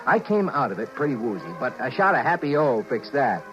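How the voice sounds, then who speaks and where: An actor in a 1950s radio drama playing a harried married executive who narrates and stammers nervously. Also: male voice, mid-range voice, sing-song, brisk, music in the background, natural voice. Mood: narrating. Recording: muffled vintage radio broadcast.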